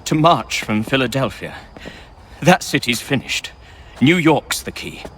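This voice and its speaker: An actor playing a proud, anxious British military officer, terse and agitated, in a video game cutscene. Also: male voice, medium pitch, animated, unhurried, a bit bright, slight background noise. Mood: frightened.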